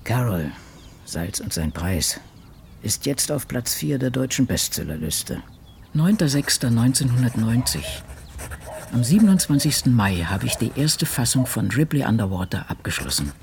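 A man playing an ageing, solitary woman crime novelist in a radio drama, musing in a deliberate, wry way. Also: medium pitch, sing-song, vocal fry, darkish tone, a little hiss or hum. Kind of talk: literary radio drama monologue, reading diaries and drafts.

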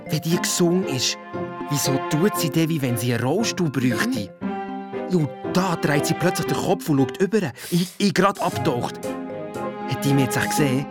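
An actor with a Swiss accent playing a young amateur detective, retelling events colloquially and excitedly in a radio play. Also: medium pitch, normal speed, over loud music, natural voice. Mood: excited, suspicious. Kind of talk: radio play storytelling in first person.

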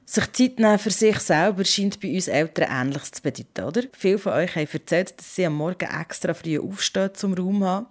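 A woman hosts a podcast. Her voice sits a little low, and she addresses listeners in a conversational presenting style. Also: brisk, few pauses. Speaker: podcast host.

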